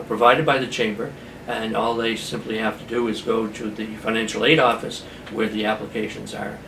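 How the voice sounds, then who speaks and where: A man hosting an informal community interview programme, speaking haltingly with pauses mid-sentence. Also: medium pitch, fairly bright tone. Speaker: talk show host, chamber of commerce representative. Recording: roomy echo, distant mic, background noise.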